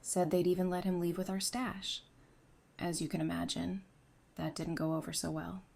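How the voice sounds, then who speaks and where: A woman acting as a composed, resolute survivor in an apocalypse audio drama. Her voice is very mellow and slightly low, and she speaks in a measured, earnest manner. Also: little pitch movement, creaky. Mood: controlled grief.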